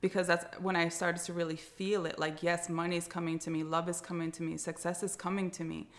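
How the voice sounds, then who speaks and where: A woman's voice: fairly low pitch, flat delivery, a little fast. A woman in her thirties chatting casually and earnestly, affirming things and repeating phrases for emphasis. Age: 30s. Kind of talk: casual chat.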